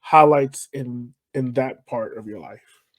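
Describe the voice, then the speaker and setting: A man's voice: mid-range voice, slow, dry recording. An American man interviewing a guest as a podcast host in an informal, colloquial, enthusiastic way. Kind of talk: casual chat interview.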